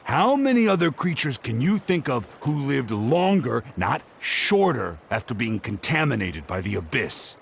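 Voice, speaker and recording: A man's voice: medium pitch, big pitch swings, measured pace, creaky, few pauses, slight background noise. An actor playing an earnest young male scholar who eagerly explains his theories. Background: game music.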